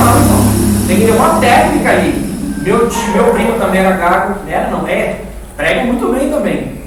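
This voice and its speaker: A Brazilian man giving an informal lecture to a church preaching class, conversational and didactic, repeating words and putting questions to his listeners. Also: high-pitched. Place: echoing indoor room, live class. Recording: very echoey room, distant mic, faint noise.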